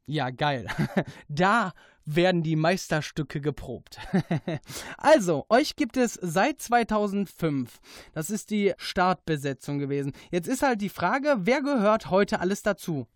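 A man's voice: high-pitched, lively intonation, slow pace, no echo, studio quality. A man hosting a casual radio interview.

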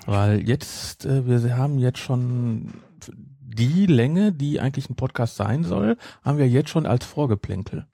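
A man's voice: mid-range voice, lively intonation, measured pace, vocal fry, few pauses, warm tone, breathy edge, no echo, clean studio sound. A man bantering casually as a podcast co-host in a flippant, jokey way.

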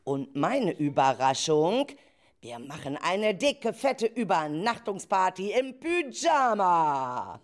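A woman's voice: on the low side, big pitch swings, takes it slowly. A woman playing an enthusiastic leader of a group of schoolchildren in an amateur radio play, talking to them in simple, encouraging phrases. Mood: excited.

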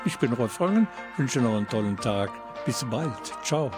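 A man's voice: medium pitch, sing-song, normal speed, over music. An older male radio host bantering jovially with his co-host in the studio. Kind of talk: radio show moderation. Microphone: studio mic.

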